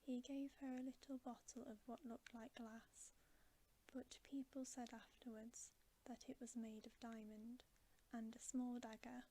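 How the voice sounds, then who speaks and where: A woman whispers a bedtime story in a soft, breathy ASMR style. Her delivery is very slow and gentle, with little rise and fall. The tone is mellow and hushed throughout. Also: fairly high pitch, level tone, slight background noise, rough recording. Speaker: ASMR reader.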